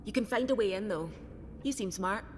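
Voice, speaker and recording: A woman's voice: mid-range voice, animated, fairly quick, long reverb tail, slight background noise, close to an everyday voice. A woman playing a blunt, embittered smuggler held prisoner in a video game. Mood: pragmatic, impatient. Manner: blunt, combative, sweary.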